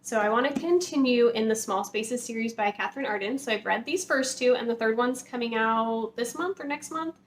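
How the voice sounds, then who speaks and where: A woman with a fairly high voice chats casually to her viewers in an enthusiastic, gushing manner, peppering her sentences with "like." She sounds like an informal book vlogger, recorded with noticeable background noise. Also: brisk, hardly any pauses. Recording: clear background noise, room ambience.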